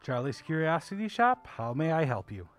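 An actor playing a courtly, whimsical old uncle who runs a curiosity shop in an audio drama, genial and theatrical, with old-fashioned manners. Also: male voice, a little high, sing-song, normal speed, a bit bright, soft background music. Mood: guarded.

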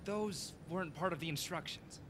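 An actress playing a cautious, skeptical game partner in an audio drama, dry and matter-of-fact. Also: female voice, on the low side, moderate pace, a bit bright, slight background noise, natural voice.

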